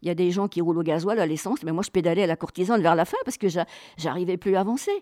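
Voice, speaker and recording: A woman telling travel stories as an interview guest, chatty, colloquial and expressive, acting out bits of dialogue. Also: on the low side, rapid-fire, no room sound, studio quality. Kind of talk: animated travel storytelling.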